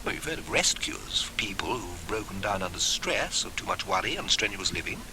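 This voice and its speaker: Droll, assured male friend for a storybook acted by a male reader, very varied pitch, hissy tape. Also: sing-song, fairly quick. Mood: dryly advising. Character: droll, matter-of-fact male friend giving absurd advice. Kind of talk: acted audiobook dialogue. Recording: hissy old cassette tape.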